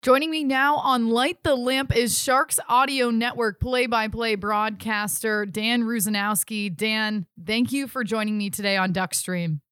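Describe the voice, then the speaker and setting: Woman sports podcast host, slightly high voice, dry close sound, presenting and interviewing. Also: fairly even intonation, a little slow, few pauses, fairly bright tone, clean tone, dry recording. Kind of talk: scripted sports segment and interview.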